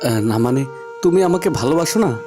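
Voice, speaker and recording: A man's voice: medium pitch, lively intonation, brisk, music in the background, close to an everyday voice. A man voicing an earnest, impassioned young lover, the son of a village headman, in a cartoon. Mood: urgent, pleading. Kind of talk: cartoon voice acting.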